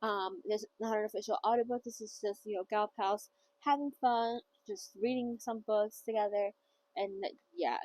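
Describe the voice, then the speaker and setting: A woman's voice: a little high, a little mellow, rough recording. A woman hosting a casual novel read-along who rambles chattily and often trips over words. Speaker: book reader and host.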